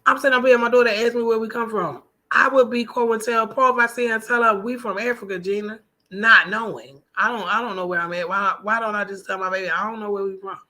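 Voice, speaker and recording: A woman's voice: fairly high pitch, steady tone, fast talker, pushed and bright. An American woman talking casually in a personal vlog monologue, emphatic, colloquial and conversational. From United States. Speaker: vlogger.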